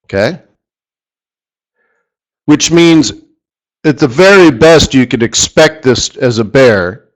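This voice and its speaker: A man teaching a live trading lesson who keeps clearing his throat as his voice gives out. He rambles, corrects himself as he goes and repeats a point for emphasis. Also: medium pitch, animated, takes it slowly, long pauses, poor audio. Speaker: forex trading instructor. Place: studio or office, live stream.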